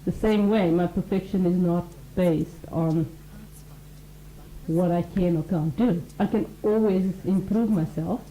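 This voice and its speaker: A woman's voice: on the low side, a little slow, warm tone, roomy sound, slight background noise, rough recording. A woman who teaches mindfulness and talks earnestly on a radio show, explaining ideas through everyday examples and acted-out quotes. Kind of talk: conversational radio talk.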